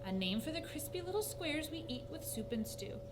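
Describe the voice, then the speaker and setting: A woman acts out an honorable old mayor from a storybook. He proclaims in a high, ceremonious voice with formal care. The recording has clear noise and a hum. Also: brisk. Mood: proclaiming. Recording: noisy recording with steady hum.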